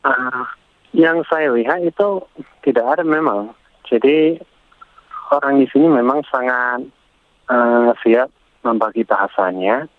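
Foreign male guest on a phone line, careful and halting, dry and lo-fi. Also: medium pitch, dry recording. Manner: halting, careful speech of a language learner. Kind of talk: radio interview.

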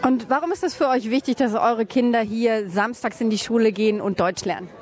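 A woman reporter with a slightly high voice does an interview on location. She asks the parents and children short questions in a friendly, curious way. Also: fairly quick, a faint crowd.

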